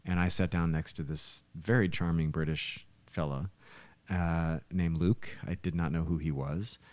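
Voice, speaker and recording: A man's voice: low-pitched, fairly even intonation, unhurried, a little mellow, no room sound. A man chatting casually and telling stories as a musician and songwriter on a podcast, speaking into a close microphone. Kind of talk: casual storytelling chat. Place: living room.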